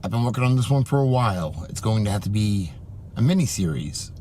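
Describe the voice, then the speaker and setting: A man narrating a researched commentary video in a measured, explanatory way. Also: lowish voice, lively intonation, dark tone, a little hiss or hum. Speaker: YouTube commentator.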